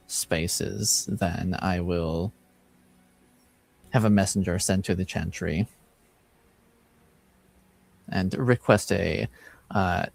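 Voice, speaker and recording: A man playing a formal, courteous, caring noble uncle and father in a tabletop fantasy roleplay, with measured wording. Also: low voice, darkish tone.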